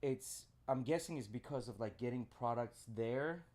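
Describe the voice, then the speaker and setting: A man's voice: mid-range voice, normal speed, darkish tone. Podcast co-host, man, talks things through with opinions. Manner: opinionated, explains at length. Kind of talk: casual chat.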